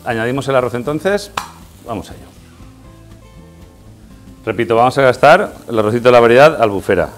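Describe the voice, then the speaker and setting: A middle-aged man with an accent from Spain talks through a recipe as a guest chef. His delivery is informal and instructional, at a medium pitch. Faint music plays behind him along with the sizzle of cooking. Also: animated, fairly quick, pauses often. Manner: explanatory, instructional. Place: indoors in a tiled kitchen set.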